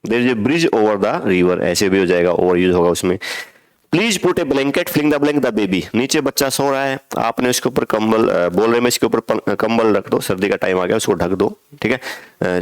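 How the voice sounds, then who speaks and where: A man teaching a lesson, explaining step by step and asking and answering his own questions, reading example sentences slowly. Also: mid-range voice. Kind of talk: online classroom lesson.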